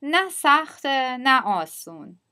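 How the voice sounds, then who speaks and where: A woman with a higher, bright voice reads short dialogue lines very fast. Her pitch swoops widely from line to line. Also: sing-song. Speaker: dialogue speaker in a language lesson.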